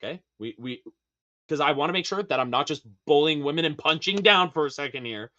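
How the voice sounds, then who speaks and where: A man with a high-pitched, slightly feminine voice, ranting hard on a casual podcast, emphatic and profane. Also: lively intonation, a little fast, bright, forceful tone, muffled recording. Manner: emphatic, profane, preachy rant with sudden high-pitched outbursts. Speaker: podcast guest.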